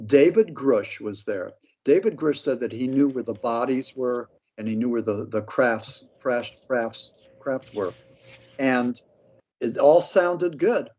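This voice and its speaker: A man's voice: mid-range voice, slow pace, darkish tone, muffled recording. An American man talking casually as an interview guest, blunt, skeptical and slangy in his opinions. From Pennsylvania, United States. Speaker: interview guest, paranormal author.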